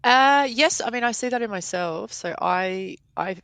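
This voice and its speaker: A woman's voice: medium pitch, expressive, a little slow, fairly bright tone, dry recording. A woman in her forties who grew up in Poland, a podcast editor and language teacher, talking through her ideas thoughtfully in a casual interview. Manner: thoughtful, explains with examples.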